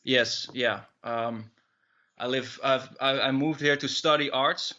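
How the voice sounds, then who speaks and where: Hesitant Dutch-accented man, bright tone. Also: mid-range voice, steady tone, slow, lo-fi sound. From Netherlands. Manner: hesitant, many fillers and restarts. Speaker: musician guest. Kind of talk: casual interview answers.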